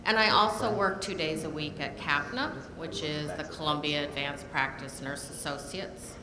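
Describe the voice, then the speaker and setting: An older woman, a nursing program director, giving a measured panel talk with frequent pauses. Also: deep voice, crowd noise. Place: lecture hall. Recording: echoey hall, audible room noise.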